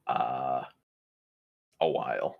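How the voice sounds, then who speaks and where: A man's voice: a bass voice, very slow, creaky, phone-quality sound. A man playing a tabletop roleplaying game, speaking casually in and out of character and often thinking aloud with hesitations.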